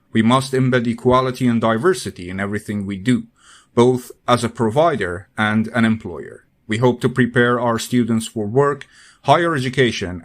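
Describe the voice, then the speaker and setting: A man reading formal educational passages aloud as scripted narration. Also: medium pitch. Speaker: pronunciation practice narrator.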